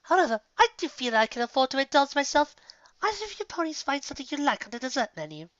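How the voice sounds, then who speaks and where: A man's voice: very high voice, big pitch swings, fast talker, a bit bright, no room sound, lo-fi sound, pitched above the performer's own voice, heavily acted. A man voicing a refined, chatty young female unicorn with genteel, formal manners in a story reading. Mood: chatty, indulgent.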